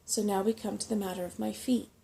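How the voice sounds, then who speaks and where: A woman's voice: medium pitch, fairly even intonation, fast, gravelly, mellow, slight background noise, natural voice, poor audio. Woman voicing a fussy, self-important little male rat. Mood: scornful. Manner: portentous, fussy, easily affronted. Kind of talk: soft-spoken storybook character reading.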